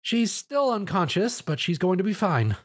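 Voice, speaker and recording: Guy doing nervous sweet pony girl, high voice, swoopy and eager, storybook. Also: big pitch swings, moderate pace, slightly rough, exaggerated voice. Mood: nervous, caring. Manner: eager, anxious. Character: earnest, caring young female pony, nervous and excitable.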